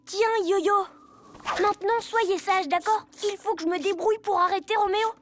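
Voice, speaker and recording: Very high-voiced plucky child hero. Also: steady tone, normal speed, background noise. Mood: bossy. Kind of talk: French-dubbed children's cartoon acting. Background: loud cartoon music.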